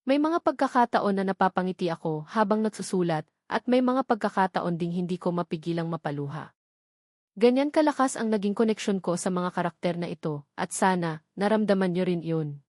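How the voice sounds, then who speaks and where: A woman reading a scripted story as narrator, in an earnest, sentimental and measured dramatic style. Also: lowish voice, lively intonation, darkish tone, no echo, clean studio sound.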